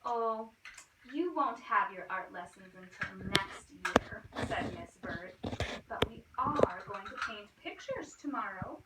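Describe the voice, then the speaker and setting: A woman's voice: mid-range voice, expressive, slow pace. A woman reading a picture book aloud to children like a teacher, expressively, with small asides and questions to her listeners. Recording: roomy, distant mic, clear noise and clicks.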